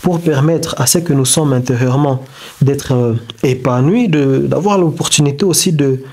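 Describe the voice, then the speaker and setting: A man whose first language is not French gives a reflective motivational talk, halting and searching for words with many restarts. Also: medium pitch, darkish tone. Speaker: self-development video creator. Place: indoors beside a whiteboard diagram.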